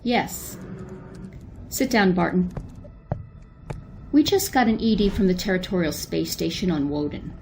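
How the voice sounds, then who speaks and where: A woman playing a brisk, businesslike spaceship commander in an old-time style radio drama, clipped and authoritative. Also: fairly low pitch, animated, gravelly, a little mellow, a little hiss or hum.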